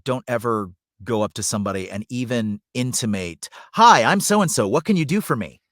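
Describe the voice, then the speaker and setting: A man's voice: mid-range voice, expressive, moderate pace, fairly bright tone, dry recording, clean studio sound. A middle-aged man speaking earnestly and conversationally as a guest in a podcast interview, a working voice actor and coach.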